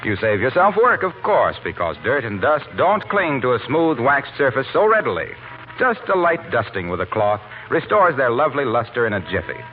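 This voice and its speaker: A man's voice: medium pitch, big pitch swings, normal speed, phone-quality sound, soft background music. A man announcing in polished, persuasive 1940s radio style from a script. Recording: thin, band-limited vintage radio recording.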